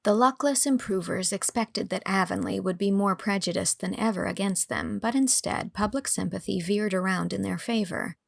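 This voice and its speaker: A woman's voice: medium pitch, creaky, no room sound, clean studio sound. A woman reading scripted narration as an audiobook narrator and host.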